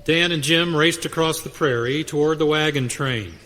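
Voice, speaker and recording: A measured Old West storyteller, voiced by a man, narrates a scripted radio adventure in a slightly high voice, with hall reverb and faint noise. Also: a bit bright, natural voice. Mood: measured, storytelling.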